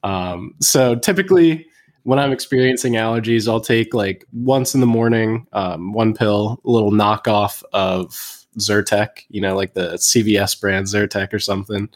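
A man chatting casually and in a relaxed way about his own habits, sprinkling in "like" and "um.". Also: on the low side, normal speed. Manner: relaxed and conversational, with "like" and "um". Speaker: podcast co-host. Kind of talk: casual chat.

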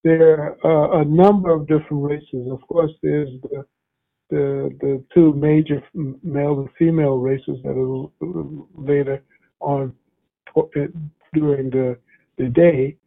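Radio host guy, lots of ums and restarts, gravelly voice, tinny phone-like sound. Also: on the high side, fairly even intonation. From United States. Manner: halting, many fillers and restarts. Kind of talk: casual radio interview.

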